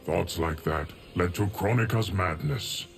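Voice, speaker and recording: Game guardian, ancient and grave: very low gravelly voice, formal, measured. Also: male voice, over music. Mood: solemn. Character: solemn, ancient male guardian of the timeline. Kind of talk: video game character dialogue.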